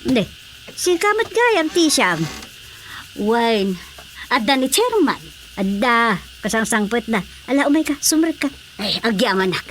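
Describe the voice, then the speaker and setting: A woman's voice: on the high side, big pitch swings, measured pace, long pauses, fairly bright tone, a little hiss or hum. An actress with an Ilocano Filipino accent playing an indignant, nosy village woman who complains and exclaims, in a radio drama comedy. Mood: agitated.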